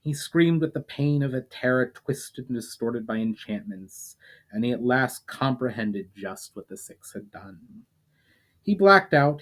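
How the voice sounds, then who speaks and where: A man reading fantasy fiction aloud as a storyteller, in a dramatic audiobook style with measured phrasing that falls away at line ends. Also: medium pitch. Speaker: author reading own fiction aloud, channel host.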